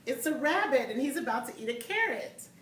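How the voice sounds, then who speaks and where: A woman's voice: high-pitched, sing-song, a little fast, a bit bright, slight background noise, voice pushed up. A woman voicing a teasing, contrary friend in a picture book. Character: contrary friend insisting it's a rabbit. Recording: echoey room, mic at a distance.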